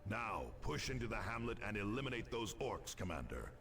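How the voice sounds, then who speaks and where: An actor playing a stern space marine commander, authoritative and formal, in a sci-fi military game briefing. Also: male voice, fairly low pitch, big pitch swings, brisk, far from the mic, background noise. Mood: grave, commanding.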